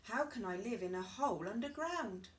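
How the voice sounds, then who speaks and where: A woman's voice: medium pitch, animated, moderate pace, recorded from a distance, close to an everyday voice. A woman voicing an anxious, easily upset mother hen in a children's story, exclaiming dramatically and fretfully. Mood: disgusted.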